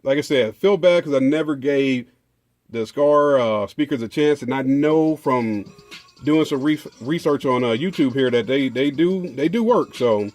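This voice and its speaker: A man's voice: mid-range voice, unhurried, a little mellow, faint music behind. A man, chatting casually as he unboxes car audio gear, rambling with lots of fillers and restarts.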